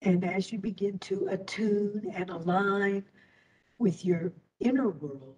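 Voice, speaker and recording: A woman teacher leading a guided meditation in a soothing, deliberate way, leaving long pauses. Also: mid-range voice, darkish tone. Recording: distant microphone.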